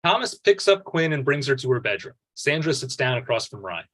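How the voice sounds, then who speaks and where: A man's voice: medium pitch, sing-song, fairly quick, fairly bright tone. A man reading stage directions as scripted narration at a script table read.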